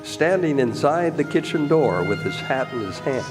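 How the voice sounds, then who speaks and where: A man's voice: mid-range voice, animated, moderate pace. A man reading dramatic scripted narration in the style of an old-time radio drama. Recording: reverberant hall with music.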